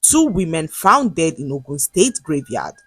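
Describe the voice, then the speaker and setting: A woman storyteller voicing a formal, measured television news anchor delivering grim news. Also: deep voice, big pitch swings, a bit bright, breathy edge, no echo, soft background music, exaggerated voice. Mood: grave formal. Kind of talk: news bulletin within a story.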